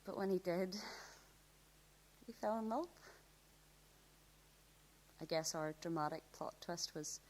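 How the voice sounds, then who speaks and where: A woman's voice: on the low side, slow pace, pauses often, darkish tone, slight background noise, rough recording. An actress playing an open, tender, lovestruck teenage girl in a radio drama, speaking in a natural, hesitant way. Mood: wistful narration.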